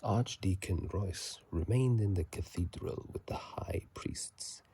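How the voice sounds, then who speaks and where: A man speaking in a soft ASMR whisper and murmur, casual and halting, recorded on a phone microphone. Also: sits low, breathy edge. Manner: halting, casual, self-correcting. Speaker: channel host. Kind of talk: whispered ASMR game commentary. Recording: faint noise, phone microphone.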